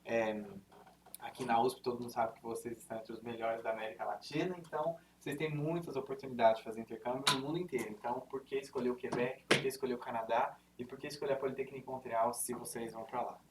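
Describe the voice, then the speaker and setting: A man's voice: mid-range voice, quick pace. A man in his thirties with an interior São Paulo accent, giving an informal presentation to students in a lecture room, chatty and full of fillers and self-corrections. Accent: interior São Paulo (caipira R). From Brazil, interior of São Paulo state. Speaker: university representative giving a presentation. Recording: distant mic, faint room noise.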